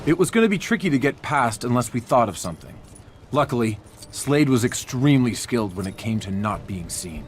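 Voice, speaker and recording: An actor playing a young, self-serious vigilante archer and island survivor, narrating a video game with dramatic, wry storytelling. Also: male voice, mid-range voice, expressive, brisk, a little hiss or hum, natural voice. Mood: reflective, serious.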